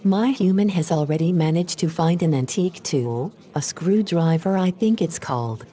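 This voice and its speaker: A very low, dark-toned female home AI acted by a woman for an audio drama. She is polite and helpful, giving matter-of-fact replies in a friendly way. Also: moderate pace, breathy edge. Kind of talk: scripted audio drama dialogue.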